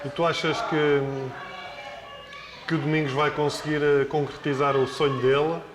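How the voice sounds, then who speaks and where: A man's voice: a little high, little pitch movement, moderate pace. A man with a European Portuguese accent hosting, chatting and teasing in interviews and narrating voice-overs. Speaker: host. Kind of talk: casual interview and voice-over narration.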